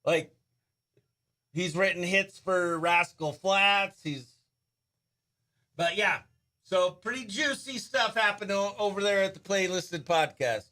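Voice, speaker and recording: High-pitched, bright-voiced male radio host. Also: slow, pauses often. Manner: informal, excitable, slangy. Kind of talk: casual behind-the-scenes chat and upbeat radio interview.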